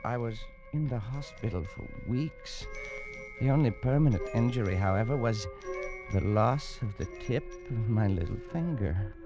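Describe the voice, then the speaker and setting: A man's voice: mid-range voice, expressive, normal speed, natural voice. An actor playing a nervous, haunted adventurer who narrates his torment in an agitated, stammering way, in old-time radio drama style. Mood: haunted, brooding. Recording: dull vintage radio recording, music behind. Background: dramatic music.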